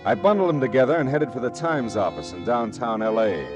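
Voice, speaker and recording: A man's voice: medium pitch, lively intonation, a little fast, dull audio, music in the background, lightly acted. An American man playing a hard-boiled private detective in 1940s radio style, with a dry, sardonic, clipped delivery. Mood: wry. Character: hard-boiled, wry male private detective narrating his case.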